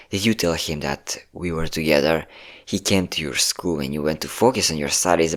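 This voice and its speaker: A man's voice: low voice, fairly bright tone. A man playing a possessive, manipulative yandere ex-boyfriend in a scripted audio roleplay, speaking in a coaxing, menacing way. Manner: coaxing, menacing, calling listener pet names, nervous chuckles. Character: possessive, manipulative yandere ex-boyfriend hunting his hiding lover.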